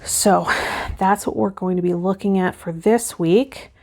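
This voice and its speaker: A woman with a soft-edged, mellow voice presents a tarot vlog. Her pitch sits in the middle for a woman. She speaks in a measured, instructional manner, explaining cards and reading guidance aloud. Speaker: tarot channel host.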